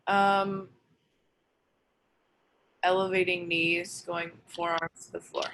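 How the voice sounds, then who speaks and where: Some noise sits behind a woman on a group call. She is a trainer with a bright, slightly low voice, laying out a case casually in a slow, halting way. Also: flat delivery. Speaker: trainer asking about a client. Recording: noisy call audio.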